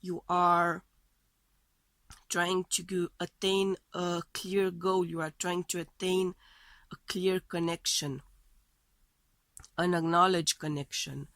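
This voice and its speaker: Slow, low-voiced woman with a cold. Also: little pitch movement, a bit bright, dry recording. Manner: tentative, searching phrasing as she reads cards. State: voice thrown off by a heavy cold. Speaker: tarot card reader. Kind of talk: weekly tarot love reading.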